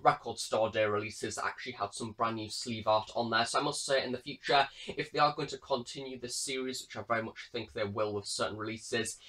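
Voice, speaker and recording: A man's voice: on the low side, a bit bright. A British man giving a casual, chatty product review in a rambling way. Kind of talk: casual unboxing review talk.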